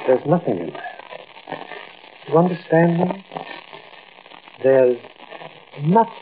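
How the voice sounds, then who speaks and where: An actor playing a calm, insistent older watchman in a 1940s radio drama, speaking in a measured, authoritative way. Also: fairly high pitch. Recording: muffled, hissy old radio broadcast.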